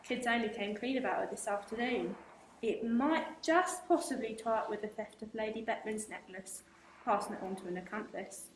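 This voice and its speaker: A woman's voice: fairly high pitch, close to an everyday voice. A young actress playing a polite, dry-witted policewoman inspector in a school radio play. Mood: polite official. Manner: polite, measured and official. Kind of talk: amateur radio play acting. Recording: roomy room echo, faint hiss.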